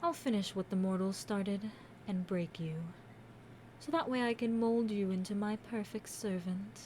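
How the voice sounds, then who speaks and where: A woman voicing a commanding, scheming demon queen in a scripted audio roleplay, haughty and taunting, with deliberate, theatrical villain delivery. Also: mid-range voice, mellow, slight background noise, lightly acted. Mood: possessive, mocking.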